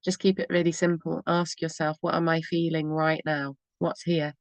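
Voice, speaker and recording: A woman talks in a low, encouraging voice as a radio host, rambling along and often laughing. She is recorded dry and close to the mic. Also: level tone, moderate pace, no echo. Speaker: radio show host and EFT therapist. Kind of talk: casual spoken advice on a talk radio show.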